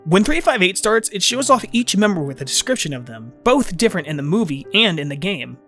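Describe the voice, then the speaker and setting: High-pitched, very animated male video essayist. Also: brisk, music in the background. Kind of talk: informal scripted fan commentary.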